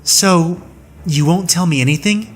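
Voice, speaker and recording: A man voicing a sincere young woman knight who pleads quickly and earnestly in an audiobook. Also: sits high, slight background noise. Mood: pleading. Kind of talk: audiobook reading.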